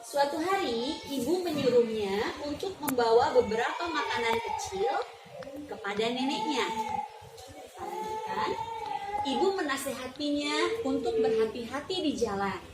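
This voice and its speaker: A young woman reading a fairy tale aloud to children, lively and often stopping to ask them questions. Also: high voice, measured pace. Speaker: student teacher. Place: classroom. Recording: roomy classroom from far off, background noise.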